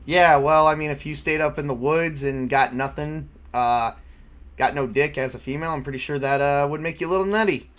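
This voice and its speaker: Higher-voiced man improvising as game master. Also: fairly bright tone. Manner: halting, improvising as he goes. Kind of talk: casual improvised tabletop game narration.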